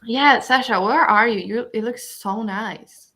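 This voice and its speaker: A woman's voice: on the high side, unhurried, sharp tone. A woman hosting a casual livestream, chatting with friends and reading out viewer comments. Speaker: host of a livestream reading sprint.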